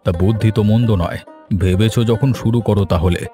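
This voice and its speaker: A man playing an easygoing, shrewd village landowner in a cartoon, measured and advising. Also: on the low side, fairly even intonation, mellow, soft background music, close to an everyday voice. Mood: calm, advising. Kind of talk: scripted cartoon dialogue.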